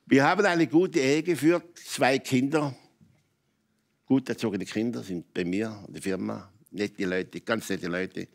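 An older man with a Swiss German accent, telling personal stories as a talk show guest in emphatic, halting phrases with repetitions. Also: mid-range voice, creaky. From Switzerland. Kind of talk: candid personal storytelling in conversation. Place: TV talk show studio with audience.

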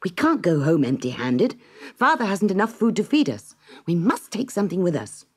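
A polite, earnest young boy in a storybook, speaking in a slightly raised, husky voice, as a woman reader voices him. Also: lowish voice, sing-song, normal speed, voice pushed up.

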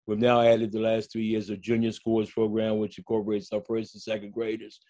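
Older man, low and level voice. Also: monotone, brisk, mellow. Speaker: school coach. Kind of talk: panel discussion. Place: home room, indoors. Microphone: video call.

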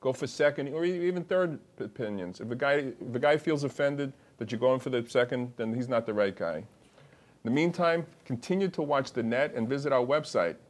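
A man's voice: medium pitch, fairly quick. An older man hosting a live call-in medical show in a TV studio, chatty and joking, often restarting his sentences. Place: TV studio set.

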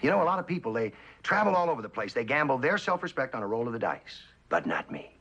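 A suave, wildly swooping cynical spy. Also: male voice, mid-range voice, sing-song, fairly bright tone, breathy edge, exaggerated voice. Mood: musing, cynical. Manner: theatrical, sly, musing with dramatic pauses and chuckles. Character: suave, cynical spy, a traitorous best friend who loves the game. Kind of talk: mid-century American TV drama acting. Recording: dull old television soundtrack.

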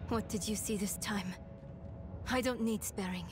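An actress playing a determined young daughter in a video game, insistent and urgent. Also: female voice, mid-range voice, unhurried, natural voice. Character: determined young daughter pursuing her mother. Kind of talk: dramatic video game acting. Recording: game music behind.

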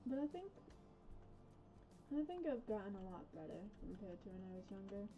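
A woman from the USA chatting casually while playing a game, rambling and correcting herself, with soft r sounds left over from a past speech difficulty. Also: mid-range voice, sing-song, brisk, dull audio, faint music behind. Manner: rambling, self-correcting, hesitant. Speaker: gaming vlogger. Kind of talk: casual gameplay chat.

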